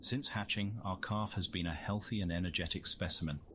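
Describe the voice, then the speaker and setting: A man playing a scientist who narrates a wildlife field study in measured, formal scripted documentary style. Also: sits low, background noise, lightly acted. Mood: measured, informative. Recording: loud music under the voice.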